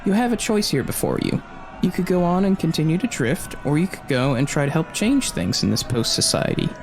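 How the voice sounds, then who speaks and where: A man acts a young pickpocket drifter in an audio drama, with a lively, fairly high voice. He is cheeky yet earnest and talks persuasively, like a loyal best friend. Music plays behind him. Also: fairly quick. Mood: earnest urging. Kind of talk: post-apocalyptic audio drama acting.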